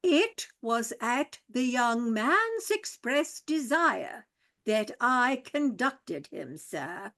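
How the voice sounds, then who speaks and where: A woman voicing a formal, deferential older male butler in a radio play, speaking with ornate politeness and hushed asides. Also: on the high side, sing-song, extremely slow, full character voice. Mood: stiffly polite.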